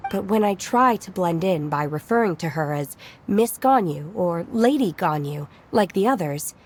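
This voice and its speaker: A woman voicing a serene, literal-minded young mountain disciple in an anime-style video game, formal, measured and earnest. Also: on the low side, animated, a little hiss or hum, lightly acted. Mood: composed. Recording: music faintly behind the voice.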